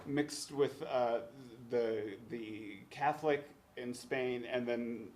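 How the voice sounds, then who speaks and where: A man's voice: medium pitch, fairly even intonation, slow pace, darkish tone, slight background noise. Hesitant man explaining flamenco. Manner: hesitant, many restarts and fillers. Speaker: teaching artist, musician. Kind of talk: casual explanation on a webcast.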